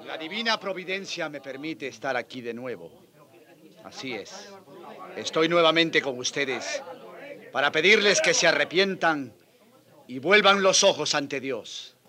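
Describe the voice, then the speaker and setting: An actor playing a fiery, earnest older priest in a radio drama, with an emphatic, preaching manner. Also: male voice, fairly high pitch, lively intonation, measured pace, a crowd in the background. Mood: solemn, pleading.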